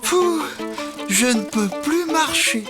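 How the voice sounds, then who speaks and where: Weary cartoon critter mailman, high voice, drags words out slow and plaintive. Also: expressive. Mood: weary, whining. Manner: slow, plaintive, drawn-out complaining. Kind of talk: children's cartoon dialogue. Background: music.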